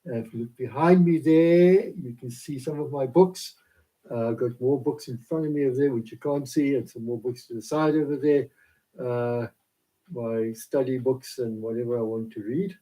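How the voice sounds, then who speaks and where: South african man, very dark mellow tone, halting, filler-heavy, laptop mic, small home office. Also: mid-range voice, poor audio. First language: Afrikaans. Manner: rambling, halting with many fillers. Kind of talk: home video devotional talk. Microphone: laptop camera.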